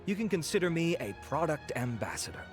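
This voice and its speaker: Showy, lively male salesman. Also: on the high side, a little fast. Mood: smooth, persuasive. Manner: flattering, polished showman. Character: smooth, showy male salesman pitching beauty products. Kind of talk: theatrical sales pitch in a video game. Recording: game audio with soft background music.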